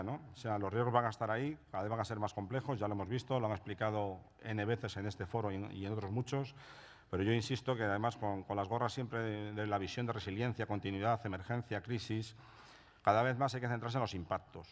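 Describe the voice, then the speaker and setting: A man with a lowish voice is explaining his view at a panel discussion. He talks in a measured, explanatory way. The sound carries a long reverb from the conference hall. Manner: measured, frequent "¿no?" tag questions. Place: conference room.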